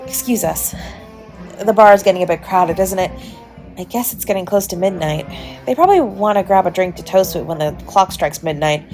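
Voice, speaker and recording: A woman's voice: mid-range voice, darkish tone, natural voice. A woman playing a charming, flirtatious stranger at a party, chatty, coy and teasing, in an intimate romantic audio roleplay. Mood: warm, inviting. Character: charming, playfully flirtatious adult party guest, smooth-talking stranger. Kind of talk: romantic audio roleplay, intimate one-sided dialogue to the listener. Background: music behind the voice.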